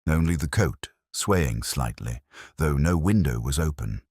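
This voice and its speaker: A man's voice: very deep voice, lively intonation, unhurried, darkish tone, breathy edge, no echo, clean studio sound, full character voice. A man voicing a nervous, bewildered costumier's clerk in an audiobook mystery, formal, hesitant and deferential. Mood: bewildered, uneasy.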